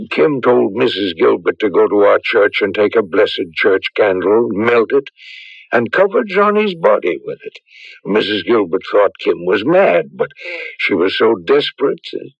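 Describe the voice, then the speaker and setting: Grave priest in an old radio play, probing n deliberate, gravelly, kinda muffled recording. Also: male voice, on the low side, very animated, no room sound, full character voice. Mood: grave, concerned. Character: grave, thoughtful male priest counselling a young man. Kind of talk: radio drama acting.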